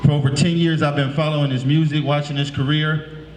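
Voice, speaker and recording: A man's voice: fairly high pitch, little pitch movement, brisk, darkish tone. A man in his late thirties from the San Francisco Bay Area, giving a preacherly motivational talk to middle schoolers and asking the crowd questions. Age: late 30s. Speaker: motivational speaker. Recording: echoey room.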